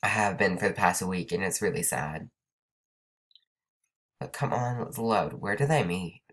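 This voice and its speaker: A woman's voice: very deep voice, measured pace. A young woman hosting a let's play, chatting casually over gameplay. She has a cold and sounds stuffed up, and she rambles with lots of "like" and "um". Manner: chatty, rambling, says "like" and "um" often.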